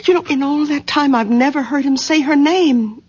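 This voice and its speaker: An actress playing a gentle, concerned middle-aged wife and daughter in a radio drama, husky and softly hesitant. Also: female voice, sits high, moderate pace, close to an everyday voice. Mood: wistful, concerned. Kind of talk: 1970s radio drama acting. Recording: dull old radio recording.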